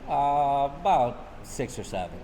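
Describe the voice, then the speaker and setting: A man's voice: medium pitch, sing-song, a little slow, dark tone, in a large hall, slight background noise. A man in his forties, born in Vietnam, answering a vlogger's questions in easy, short replies inside a restaurant. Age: 40s. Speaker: guest. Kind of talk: casual interview. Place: busy restaurant. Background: diners chatting.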